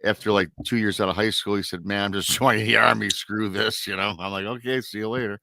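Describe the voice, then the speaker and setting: A man's voice: fairly low pitch, fairly even intonation, quick pace, vocal fry, sharp tone, dry recording. A middle-aged man with a Michigan American accent, hosting a casual talk show in a rambling, repetitive way. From Michigan, USA. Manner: rambling, repeats himself. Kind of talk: casual chat.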